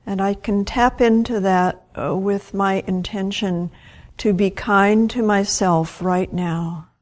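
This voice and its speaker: A woman leading a guided meditation as a teacher, gentle and unhurried, leaving long pauses between short phrases. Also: medium pitch, steady tone.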